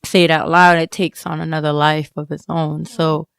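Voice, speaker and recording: A woman's voice: low voice, level tone, moderate pace, creaky, smooth, no echo. A woman speaking as a guest in a candid personal interview, reflective and often searching for words as she goes. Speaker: interview guest, student writer.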